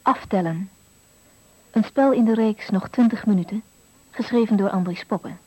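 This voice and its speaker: A woman's voice: mid-range voice, normal speed, a little mellow, husky, no room sound, low-quality recording. A woman reading a scripted radio announcement as the programme's announcer.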